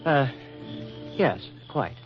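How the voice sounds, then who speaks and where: An actor playing a stiff, reserved government official in a 1940s radio drama, with formal, precise speech. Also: male voice, medium pitch, big pitch swings, drawn-out words. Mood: flustered, courteous. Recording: muffled vintage radio broadcast, music behind.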